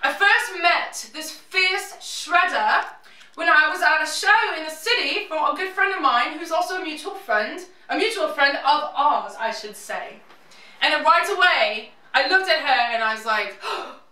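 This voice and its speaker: A woman's voice: sits high, animated, unhurried, pushed and bright. A woman hosting a live music show in a house, introducing guests in a put-on British accent, with jokey, self-mocking asides. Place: living room show space in a house. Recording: roomy sound, mic at a distance.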